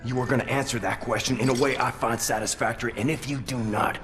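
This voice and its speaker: An actor playing a tough American army veteran in a video game, blunt, terse and stubborn. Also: male voice, medium pitch, fairly even intonation, quick pace, a bit bright, faint music behind, close to an everyday voice. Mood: menacing. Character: tough, grimly determined American army soldier, war veteran.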